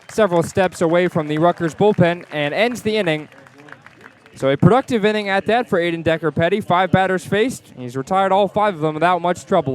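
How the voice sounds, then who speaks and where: A man calling live baseball play-by-play on the radio from the ballpark. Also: high-pitched. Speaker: play-by-play sports announcer. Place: outdoors at a baseball stadium.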